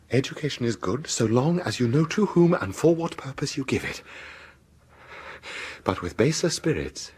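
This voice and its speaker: An actor playing a terrified British gentleman in a radio horror drama, agitated and stammering. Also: a little high, husky, full character voice. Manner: agitated, stammering, broken repetitions. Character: terrified, haunted gentleman pursued by a supernatural evil. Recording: noisy vintage radio drama broadcast.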